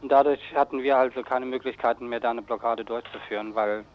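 A man's voice: mid-range voice, fairly even intonation, fast talker. A man being interviewed on the radio as an activist, explaining things informally in a matter-of-fact way. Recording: slightly dull radio recording.

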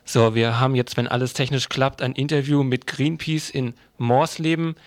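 A man working as a radio news interviewer, asking unscripted questions in a somewhat halting, hesitant way. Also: mid-range voice, steady tone, no echo.

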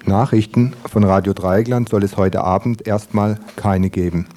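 Low, mellow-voiced male radio host. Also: fairly even intonation, normal speed, a little hiss or hum. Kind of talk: live local radio interview and news reading.

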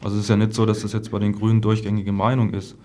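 A man with a fairly low voice joins a political discussion. His intonation is flat and even, and his manner is conciliatory. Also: very fast. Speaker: discussion guest.

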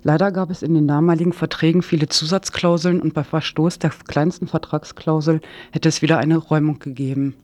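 A man presents a radio news report from a script. His voice sits on the high side for a man, with a dry sound and no room echo. He occasionally stumbles over words while reading. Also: little pitch movement, brisk, darkish tone.

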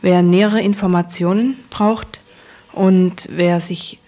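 A woman's voice: lowish voice, fairly even intonation, takes it slowly, warm tone. A woman presenting on radio, reading out a scripted announcement.

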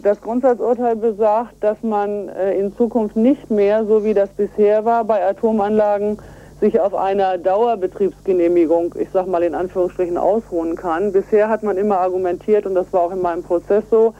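A woman's voice: medium pitch, little pitch movement, normal speed. A woman being interviewed on the radio over a telephone line as an environmental activist, explaining step by step in an earnest, emphatic manner. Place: on a telephone call to a radio show.

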